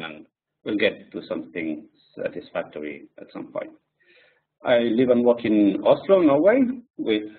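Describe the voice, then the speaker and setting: Man with a French-Spanish accent, non-native English, lowish voice, presenter, distant tinny line. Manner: hesitant, with many fillers. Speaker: software engineer presenter. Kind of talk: live coding webinar. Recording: distant tinny phone-like audio.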